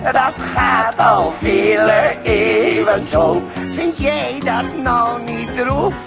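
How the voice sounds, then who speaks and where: A cheeky, sly crook singing in a children's radio play. The voice is high and creaky, drawn out slowly over loud music. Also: female voice, heavily acted. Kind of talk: playful duet song in children's play.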